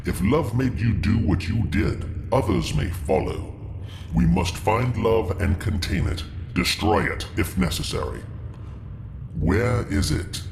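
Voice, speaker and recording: Man acting as alien overlord, very gravelly, cold, formal, stilted, sci-fi radio play, echoing room with hiss. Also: on the low side, animated, measured pace, heavily acted. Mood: probing, puzzled. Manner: formal, clipped, stilted speech without contractions. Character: cold, imperious alien commander of a conquering race. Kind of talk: audio drama acting.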